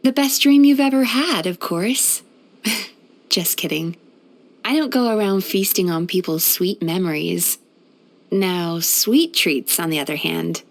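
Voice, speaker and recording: A woman playing a gentle, caring female psychologist in a video game, speaking in a soothing, thoughtful, measured and softly playful manner. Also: medium pitch. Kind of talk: scripted video game character voice lines.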